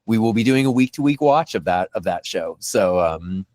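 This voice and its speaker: A man hosting a casual podcast discussion, talking enthusiastically in a halting way and often repeating phrases. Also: on the low side, animated, a little mellow, no echo. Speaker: podcast host.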